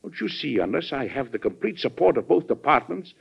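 An actor playing a troubled, plainspoken middle-aged American president in an old-time radio drama, speaking deliberately and with emphasis. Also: male voice, medium pitch, sing-song, creaky, husky, exaggerated voice. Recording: dull vintage radio recording.

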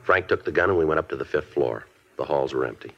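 An actor playing a police detective who narrates a case in clipped, matter-of-fact sentences, in a 1950s radio drama. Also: male voice, a bass voice, expressive, fast talker, slight background noise. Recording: dull old radio broadcast.

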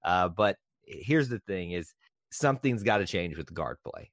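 A man's voice: deep voice, lively intonation, normal speed, dry recording, studio quality. A man hosting a casual sports podcast, talkative and easygoing, with fillers and repeated words. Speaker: podcast host.

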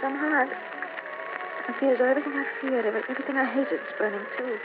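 An actress playing a distraught, suspicious, jealous young wife in overwrought old time radio melodrama. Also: female voice, sits high, rapid-fire, over loud music, natural voice. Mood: shaken, relieved. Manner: overwrought, intense, dramatic. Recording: noisy narrowband vintage radio recording.

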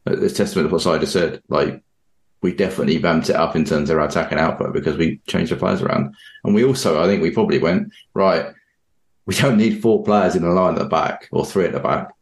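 A man with a very low-pitched voice discusses football as a podcast analyst. His talk is informal and conversational, and the recording has a clearly roomy echo around him. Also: fast talker. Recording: roomy, echoing sound.

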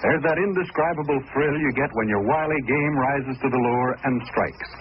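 A man's voice: mid-range voice, normal speed. An American man reading a scripted advertisement as an old-time radio announcer, with folksy, enthusiastic salesmanship. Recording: dull, noisy vintage radio recording.

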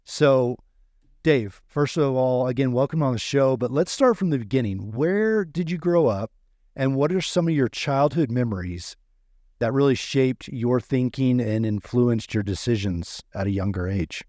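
A man speaks as a podcast co-host in an easygoing, conversational style. His pitch is mid-range, and the sound is dry and close, with no room echo. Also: animated, normal speed, darkish tone. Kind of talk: casual podcast chat.

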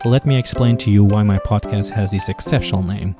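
A middle-aged man from Portugal with a Portuguese accent, hosting a food podcast with an upbeat, energetic manner. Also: fairly low pitch, brisk, over music. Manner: energetic upbeat intro, quieter when asking questions. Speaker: podcast host and chef. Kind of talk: podcast interview and ad read.

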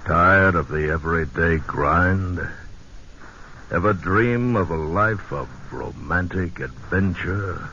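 A man announcing a 1940s radio show in a dramatic, ominous, measured style. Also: deep voice, bright, forceful tone, slight background noise. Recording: muffled old radio broadcast.

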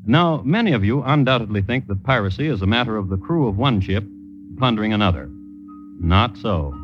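A man narrating a scripted old-time radio story in a wry, declamatory way with deliberate rhetorical phrasing. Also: fairly low pitch, animated. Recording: old radio broadcast with music behind, dull. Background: music.

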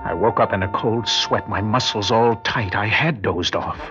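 A man's voice: lowish voice, normal speed, lightly acted. An actor playing a nervy, guilt-ridden burglar who tells his crime in a hard-boiled radio drama style. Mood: tense, brooding. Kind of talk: radio drama acting with first-person noir narration. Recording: muffled vintage radio recording, music behind.

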